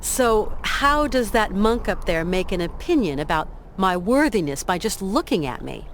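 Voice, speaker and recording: An actress playing an inquisitive, determined traveller in a video game, polite but direct, probing and dryly sardonic. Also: female voice, medium pitch, sing-song, moderate pace, slight background noise, close to an everyday voice. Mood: challenging.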